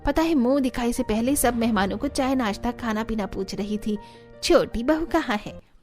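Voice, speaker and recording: A woman's voice: fairly high pitch, moderate pace, a little mellow, faint music behind, pitched below the performer's own voice, lightly acted. A woman voicing a chatty, approving middle-aged female relative in a Hindi cartoon family drama.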